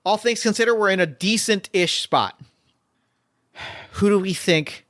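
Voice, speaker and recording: A man's voice: high-pitched, measured pace, a bit bright. Man running a tabletop mystery. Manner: thinks aloud, weighing options. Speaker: game master.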